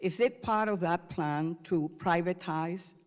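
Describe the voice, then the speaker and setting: Woman from Puerto Rico, congressional questioning, measured, fillers, hall reverb. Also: fairly low pitch. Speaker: member of Congress questioning a witness. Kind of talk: formal questioning at a hearing. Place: congressional hearing room.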